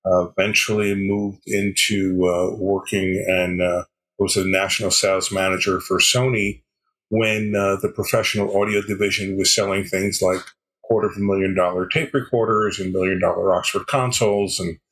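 An older man answering interview questions as a guest, explaining in a measured way with pauses between phrases. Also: low voice, level tone, fairly bright tone, low-quality recording. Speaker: podcast guest, sales executive.

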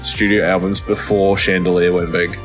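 A man with a low voice co-hosts a podcast. He chats in a laid-back, slangy way, with music clearly playing behind him. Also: little pitch movement, normal speed. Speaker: podcast co-host. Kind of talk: casual chat.

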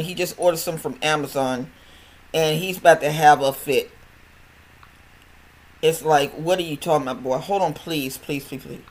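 An older podcast host with a stutter, talking in a chatty, rambling way with many restarts and repeated words. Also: medium pitch. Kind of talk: casual health podcast monologue.

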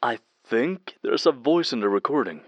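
An eager, friendly tech fan in an audio drama, played by a man. He comes through a slightly muffled, dry phone line. He chats hesitantly in a medium-pitched voice. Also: animated, moderate pace, no room sound, natural voice. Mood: excited, rambling. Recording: muffled band-limited phone call, dry.

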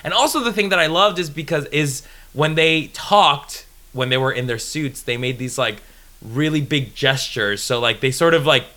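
A man's voice: a little high, normal speed. A man in his thirties from Colombia, a native Spanish speaker, chatting as a podcast guest and laughing often while telling stories. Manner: laughs often, animated storytelling. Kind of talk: casual chat.

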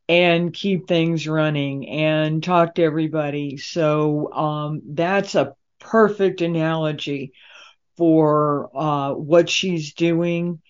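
A woman conducts an interview in a slightly low voice. She speaks slowly and deliberately, pausing within her phrases, and the recording sounds dull and lo-fi. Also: a little mellow. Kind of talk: interview, discussing a character.

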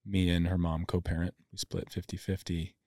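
A man's voice: sits low, flat delivery, moderate pace, darkish tone, dry recording, clean studio sound. A man hosting a podcast, asking supportive and attentive questions. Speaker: podcast host. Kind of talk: interview conversation.

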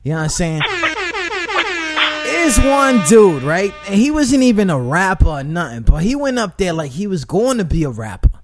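A man with a high voice hosting a casual radio chat, animated and profane, giving shout-outs and often repeating himself. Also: moderate pace, faint music behind. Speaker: internet radio host.

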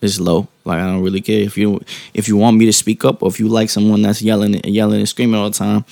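A man speaks in a low, mellow voice with a good deal of fry in it. He is calm and soft-spoken, musing informally and often circling back to reword a thought. The sound is dry, with no room around it. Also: no echo. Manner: calm, informal slang, halting rephrasing with frequent tag questions. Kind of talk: casual reflective monologue.

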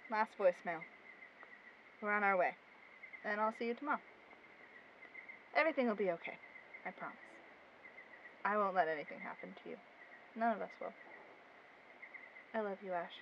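A woman's voice: mid-range voice, normal speed, pauses often, dull audio, a little hiss or hum, natural voice. A woman acting a bossy, loyal young woman on a supernatural road trip in an audio drama. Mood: tender, resolute.

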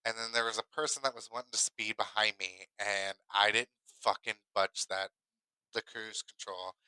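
A man with a very bright, forceful voice chats as a podcast co-host. His sound is clean, smooth and dry. He tells stories casually, repeating phrases for emphasis. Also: on the low side, steady tone, normal speed, dry recording, low-quality recording. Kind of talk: casual personal storytelling.

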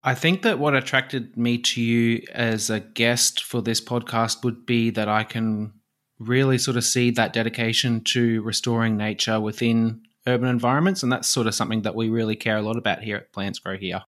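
A man's voice: mid-range voice, steady tone, moderate pace, a bit bright. A man hosting a casual podcast, asking easygoing, curious questions. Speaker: podcast host.

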